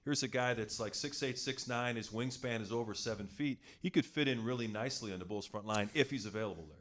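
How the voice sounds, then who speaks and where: A man co-hosting a sports podcast who talks in a steady, measured way. He lays out his analysis calmly and then hands the floor over with questions. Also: mid-range voice. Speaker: podcast co-host. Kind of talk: casual sports talk, posing questions.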